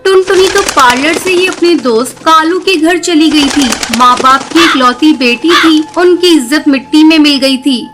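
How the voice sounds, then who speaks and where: A woman's voice: high voice, a bit bright. A woman storyteller delivering scripted, dramatic narration for a story. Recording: clearly noisy background.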